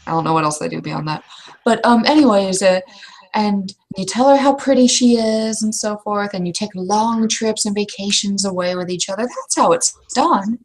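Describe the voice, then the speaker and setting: A plain-spoken female troll knight, acted out by a woman in a tabletop game, lays things out frankly. Her voice sits at a middling pitch, with a folksy, matter-of-fact, seasoned manner. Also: normal speed, lightly acted, rough recording. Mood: frank, advising.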